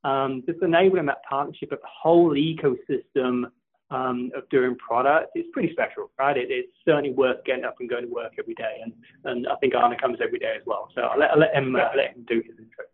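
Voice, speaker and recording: A man's voice: medium pitch, steady tone, fast talker, a little hiss or hum. Middle-aged man on a tinny phone line. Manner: rambling, repeats words, laughs often. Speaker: company co-founder, guest. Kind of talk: casual interview talk.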